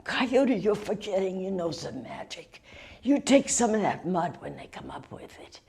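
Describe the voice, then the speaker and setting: Woman playing a wise turtle, calm, measured, hushed, unhurried, folk tale character. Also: medium pitch, pitched below the performer's own voice. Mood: calm advising.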